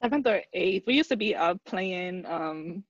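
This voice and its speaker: A young woman in her twenties co-hosts a podcast in a relaxed, laughing way. Her voice sits at a middle pitch and sounds slightly dull and lo-fi. It is dry, with no room echo. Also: measured pace. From Bahamas. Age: 20s. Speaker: podcast co-host. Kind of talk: casual chat.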